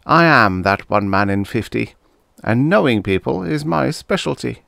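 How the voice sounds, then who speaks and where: A man's voice: medium pitch, expressive, normal speed, creaky, fairly bright tone, dry recording, studio quality, voice pushed up. A man voicing a self-assured, boastful politician of seventy in a story, speaking formally and pompously. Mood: smug, frosty. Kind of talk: storybook character dialogue.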